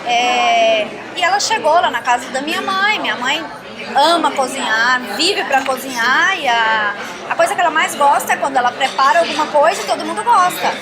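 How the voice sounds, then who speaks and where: A woman with a Brazilian accent chats casually as an interview guest, telling stories in an animated way. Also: high voice, normal speed, a crowd in the background. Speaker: interview guest, Portuguese teacher. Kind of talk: casual chat with anecdotes.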